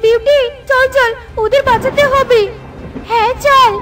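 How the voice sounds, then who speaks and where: Tiny squeaky bird, super high, earnest and caring, kids cartoon w music behind. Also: female voice, fairly even intonation, measured pace, fairly bright tone. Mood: urgent. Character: earnest, caring young female bird, cautioning friend. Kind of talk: children's cartoon acting.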